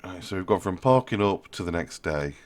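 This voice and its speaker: A man, still a bit ill and stuffy, talking quietly and casually as he edits his own video, laughing at his slips. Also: expressive, a little fast. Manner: quiet, low-key and muttering, often laughing at himself. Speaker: video maker explaining his editing. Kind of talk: casual commentary over his own footage.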